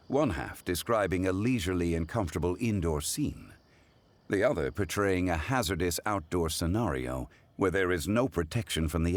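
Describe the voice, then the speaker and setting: An actor playing a calm, scholarly man who explains and judges poetry in a measured, formal way. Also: deep voice, exaggerated voice. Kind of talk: game cutscene voice acting.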